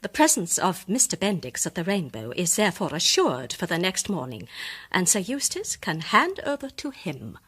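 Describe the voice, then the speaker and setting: Radio drama: self-assured woman sleuth, articulate and deliberate, theatrical reveals, slightly husky, animated pitch. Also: exaggerated voice. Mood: assured, methodical. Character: shrewd, self-assured woman amateur detective laying out her case. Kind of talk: British radio drama acting.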